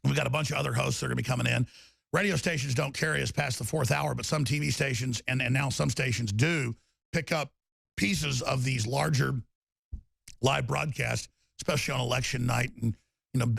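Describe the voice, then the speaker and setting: A man hosting a talk radio show, raspy and torn-up in tone, rambling emphatically with loud bursts and low mutters. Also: steady tone, brisk, no echo. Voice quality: raspy, gravelly voice. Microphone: studio microphone.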